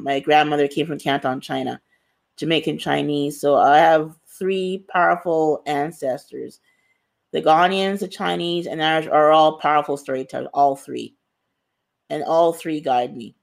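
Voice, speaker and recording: Gravelly-voiced earnest woman author. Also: sits low, fairly even intonation, normal speed. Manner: earnest, emphatic, repeats phrases for stress. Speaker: author, guest. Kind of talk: reflective interview answer.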